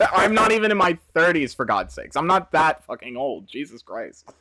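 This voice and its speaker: A man's voice: fairly high pitch, sing-song, moderate pace, pushed and bright, dry recording. A man in his twenties chatting casually on a live stream, reading out chat questions and answering in slangy, profane banter. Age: 20s. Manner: slangy, reads chat aloud, swears and laughs often. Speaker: game developer streamer.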